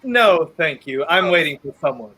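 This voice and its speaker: A young man playing a determined, quick-thinking hero on the run in a 1930s-style radio play. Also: a little high, lively intonation, bright, forceful tone. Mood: guarded, curt. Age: young adult.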